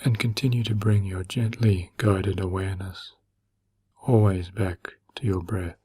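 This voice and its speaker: Low, husky man guiding meditation. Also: fairly even intonation. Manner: slow, gentle, soothing, with long pauses. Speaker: meditation guide. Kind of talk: guided meditation narration.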